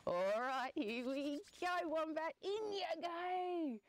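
A woman voicing a cheeky, hungry male dingo in a children's story, gloating in a singsong way with drawn-out words. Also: very high voice, voice pushed up. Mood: gleeful, hungry.

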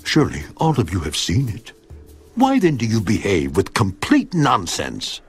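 A very deep, solemn voice of Job, the steadfast sufferer. He declaims each line with rising and falling emphasis, while soft music plays underneath. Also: huge pitch swings, moderate pace, breathy edge, heavily acted. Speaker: voice actor in a Bible audio drama. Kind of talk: dramatized scripture reading.

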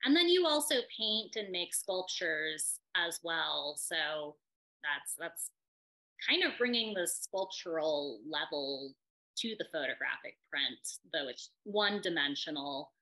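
Bright-toned woman hosting an interview. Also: sits low, lively intonation, a little slow, rough recording. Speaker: gallery director and interviewer. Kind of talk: conversational interview.

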